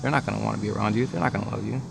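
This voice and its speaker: A man's voice: lowish voice, racing, creaky, noisy background. A man telling his personal story earnestly and with feeling. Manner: earnest, emotional. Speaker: interviewee. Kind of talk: personal testimony. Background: music.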